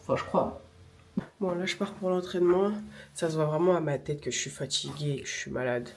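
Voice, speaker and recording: A woman's voice: low-pitched, fairly quick, darkish tone, distant mic, slight background noise. A young woman vlogging casually with a stuffy, nasal voice from a cold, chatting along with filler sounds. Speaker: vlogger and semi-pro handball player. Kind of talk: casual vlog chat. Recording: echoey room with music behind.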